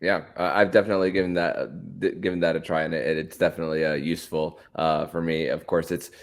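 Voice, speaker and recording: A man hosting a sports podcast, talking in a halting way with repeated words and small stumbles. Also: low voice, level tone. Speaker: podcast host.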